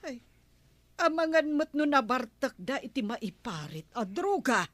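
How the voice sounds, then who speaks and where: An actress with an Ilocano Filipino accent playing a bossy, inquisitive wife of a village captain in a radio comedy drama. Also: female voice, sits high, very animated, unhurried, fairly bright tone, dry recording, full character voice. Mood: suspicious.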